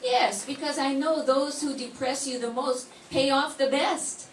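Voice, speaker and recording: A woman's voice: sits high, unhurried. An older woman voicing a chirpy, teasing female secretary to a detective in an amateur 1940s-style radio play. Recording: echoey room from far off, faint hiss.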